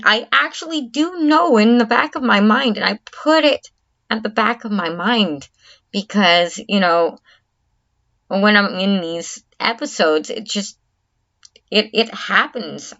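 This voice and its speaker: Slow, halting woman on a dull recording. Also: medium pitch, fairly bright tone. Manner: halting, restarts often, earnest and reflective. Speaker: vlogger. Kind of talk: candid talk to camera.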